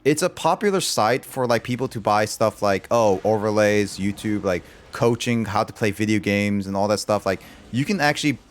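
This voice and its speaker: A man's voice: on the low side, expressive, normal speed, few pauses. A man chatting casually on a livestream, rambling and filling his talk with "like". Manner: rambling, loaded with "like" fillers.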